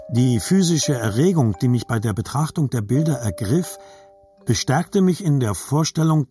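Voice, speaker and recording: An actor narrating as a grown man remembering his childhood, measured and reflective, in scripted literary style. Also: mid-range voice, a little mellow.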